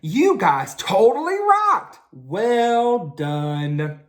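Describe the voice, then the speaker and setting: A woman voicing a small, lively storybook mouse who questions and exclaims with drawn-out words. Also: fairly high pitch, roomy sound, pitched above the performer's own voice, full character voice. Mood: delighted praise.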